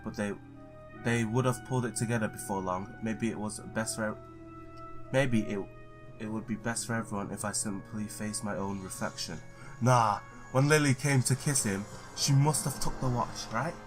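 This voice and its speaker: A man with a fairly low voice plays a visual novel and reads its text aloud. He trips over the odd word and breaks in with his own excited reactions. Faint game music plays behind him. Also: moderate pace. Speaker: gamer playing and reading a visual novel.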